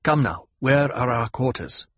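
A man's voice: medium pitch, lively intonation, a little slow, a bit bright, no room sound. A man voicing an earnest, self-possessed young man new to a tribe, careful and questioning, in an audiobook. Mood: reassuring.

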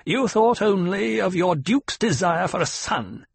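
A man voicing a cruel, demanding old woman leader in an audiobook, sneering and mocking, with a gravelly, husky edge. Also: high voice, animated, unhurried, dry recording, voice pushed up, full character voice. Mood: scolding.

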